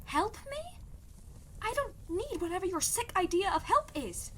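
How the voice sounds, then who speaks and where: A woman's voice: extremely high pitch, sing-song, measured pace, fairly bright tone, slight background noise, full character voice. A woman playing a defiant, impatient young princess in a scripted audio comedy, blunt and exasperated. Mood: baffled, irritated.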